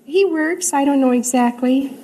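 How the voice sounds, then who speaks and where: A woman's voice: high voice, moderate pace, mellow. A middle-aged woman, a giggly, artless contestant chatting on a 1950s radio quiz. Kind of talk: casual 1950s radio interview. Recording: noisy 1950s radio with studio audience.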